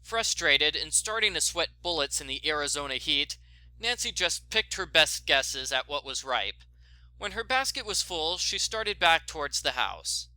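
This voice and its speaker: A man's voice: a little high, sing-song, unhurried, pushed and bright, no echo, low-quality recording. A man narrating a scripted story as a reader. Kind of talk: scripted fan fiction narration.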